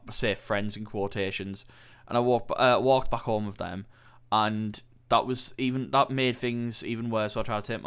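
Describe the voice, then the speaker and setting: A young man with a British accent speaks in a candid, rambling, sweary way, his voice a little nasal from an oncoming cold. His tone stays smooth and clear, at an ordinary middle pitch for a man. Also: fairly bright tone. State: coming down with a cold. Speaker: podcast host. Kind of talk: candid solo podcast monologue.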